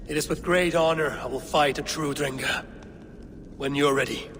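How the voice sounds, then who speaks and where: An actor playing a steadfast, curious Viking raider in a video game, speaking in a measured, earnest and wry way. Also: male voice, fairly high pitch, fairly bright tone, a little hiss or hum, lightly acted. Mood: respectful.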